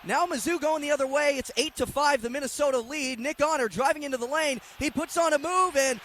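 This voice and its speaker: A man calling live sports play-by-play for radio in an excitable way, raising his voice to a shout on big plays. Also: very high-pitched, brisk. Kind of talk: live radio football and basketball play-by-play commentary. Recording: clearly noisy live broadcast sound.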